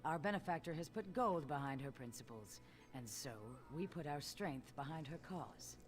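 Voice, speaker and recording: An actor, a woman, playing an earnest, newly appointed guildmaster in a fantasy game, speaking in a measured, formal way. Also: low-pitched, animated. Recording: game music underneath.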